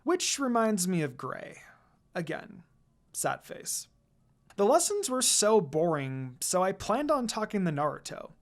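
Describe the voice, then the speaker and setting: Female fan fiction reader, medium pitch, highly varied delivery, casual and dramatized storytelling. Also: very animated, unhurried. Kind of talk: expressive first-person story narration.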